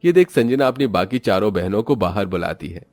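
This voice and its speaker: Low, dry male story narrator. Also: expressive, a little fast, darkish tone, no room sound. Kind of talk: scripted moral-story narration.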